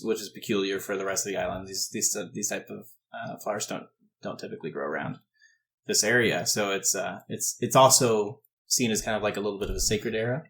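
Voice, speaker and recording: A man improvising narration as game master of a tabletop fantasy game, halting and rephrasing as he describes. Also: fairly low pitch, fairly bright tone.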